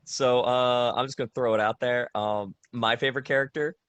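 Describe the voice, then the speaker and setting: A man's voice: medium pitch, fairly even intonation, a little fast, fairly bright tone, clear, resonant tone, no room sound. A man interviewing a guest in casual fan chat, enthusiastic and rambling as he talks. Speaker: interviewer, video game fan.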